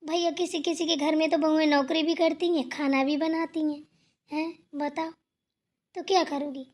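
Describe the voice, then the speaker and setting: Woman voicing a high-voiced, firm elder sister-in-law. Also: flat delivery, moderate pace, a little mellow, close to an everyday voice. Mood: lecturing. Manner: firm, lecturing, admonishing. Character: sensible, scolding elder sister-in-law in a family cartoon. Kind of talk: cartoon family drama dialogue.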